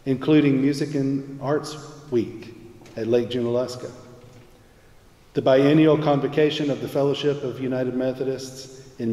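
A man's voice: medium pitch, mellow. A man in his forties earnestly reading a prepared testimony from the pulpit of a large church. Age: 40s. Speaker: church music minister. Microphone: pulpit mic, far camera. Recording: echoey church from afar.

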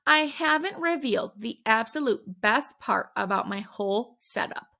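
A woman reading a children's picture book aloud, with animated, dramatic emphasis on the exclamations. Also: a little high, normal speed, gravelly. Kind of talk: expressive read-aloud storytelling.

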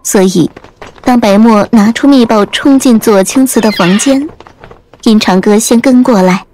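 A woman's voice: on the high side, measured pace, mellow, a little hiss or hum, poor audio. A woman reading scripted audiobook narration as the storyteller. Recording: music under the voice.

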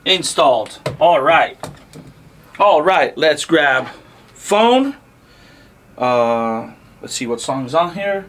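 A man's voice: fairly high pitch, animated, extremely slow, pauses often, a bit bright. A man in his thirties hosting a casual product demo, talking to camera in short, punchy, enthusiastic phrases. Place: indoor car audio showroom. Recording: clear background noise.

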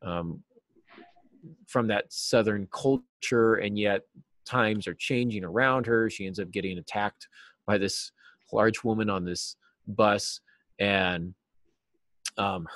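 A man in his forties giving earnest, explanatory answers as an interview guest, stressing key words deliberately. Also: on the low side, fairly bright tone, dry recording. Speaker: writing teacher and novelist, interview guest. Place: small cluttered office, video call.

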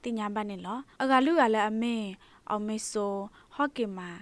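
A woman's voice: mid-range voice, moderate pace, dry recording. A woman presenting the news, reading from a script as a radio newsreader.

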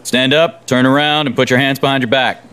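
A man's voice: medium pitch, fairly even intonation, brisk, a bit bright, slight background noise, natural voice. A man playing a curt young jail deputy, clipped and official, in an audio drama. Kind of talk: full-cast audio drama acting.

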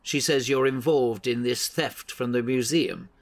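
An actor playing an exasperated, bossy newspaper editor in an audio drama, blunt and scolding. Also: male voice, mid-range voice, unhurried, creaky. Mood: angry, exasperated.

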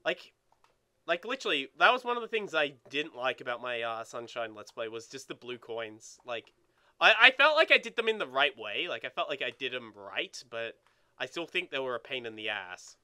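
A man co-hosting a let's play with casual, animated, sweary gaming commentary. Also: medium pitch, moderate pace, clear, resonant tone.

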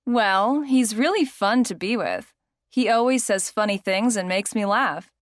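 A woman's voice: medium pitch, unhurried, no echo, studio quality, lightly acted. A woman playing someone smitten with a new partner, in a scripted listening-exercise chat. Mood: fond. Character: smitten woman describing her boyfriend.

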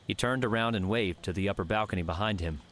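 A man narrating a fiction audiobook with measured, scripted storytelling. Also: lowish voice, fairly bright tone, a little hiss or hum.

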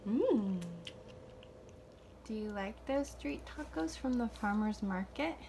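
A woman's voice: fairly high pitch, lively intonation, moderate pace, vocal fry, a little mellow, a little hiss or hum. A woman around her thirties talking casually, as in a personal vlog. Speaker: vlogger, singer-songwriter.

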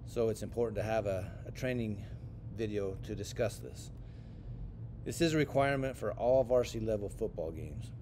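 An American man giving scripted training narration as an instructor for sports officials, in a measured, instructional way. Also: a little mellow. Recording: clear rumbling background noise.

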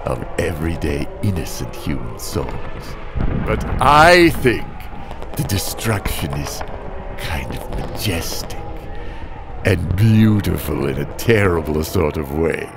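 A man narrating as a weary, guilt-ridden driver father, in a slow, brooding storytelling manner. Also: sits low, big pitch swings, creaky, exaggerated voice. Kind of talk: narrated motion comic. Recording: over loud music score.

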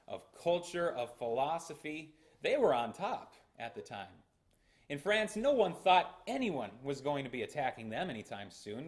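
A young man with an American accent preaching a sermon in a small church, earnest and emphatic, gesturing as he speaks. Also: mid-range voice. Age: young adult. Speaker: pastor. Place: small church sanctuary, indoors. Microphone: fixed camera at the front of the church.

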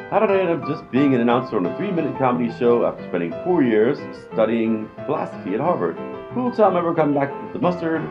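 A man's voice: mid-range voice, close to an everyday voice. A man playing a mock-serious, melodramatic announcer of a comedy radio serial. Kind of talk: mock old-time radio serial narration. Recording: muffled recording with music behind.

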